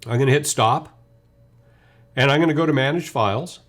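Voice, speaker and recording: A man in his fifties with a mid-pitched voice presents a technical demonstration. He speaks conversationally and informally, walking the listener through each step as a webinar presenter would. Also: normal speed, a bit bright. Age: 50s. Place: indoor video studio.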